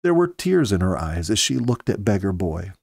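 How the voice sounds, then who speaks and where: Expressive middle-aged male storyteller, widely varied pitch. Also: moderate pace, creaky, husky, no room sound, clean studio sound. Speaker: storyteller reading aloud. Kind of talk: expressive audiobook-style story narration. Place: small home study, indoors. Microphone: close studio mic with pop filter.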